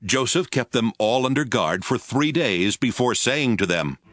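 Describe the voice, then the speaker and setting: A man's voice: medium pitch, lively intonation, a little slow, fairly bright tone. A man narrating a scripted audio Bible drama as its storyteller. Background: music.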